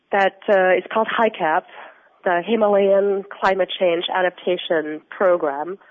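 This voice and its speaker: A woman from Austria with an Austrian accent, a researcher answering interview questions in an earnest, explanatory, measured way. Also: lowish voice. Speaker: researcher and guest. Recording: tinny phone-line audio.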